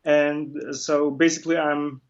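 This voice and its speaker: A man's voice: a little high, flat delivery, a little slow. A man, a comic illustrator chatting as an interview guest over a video call, joking about himself. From Germany. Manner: self-deprecating, joking, with restarts. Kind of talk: casual interview chat. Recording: muffled video call audio.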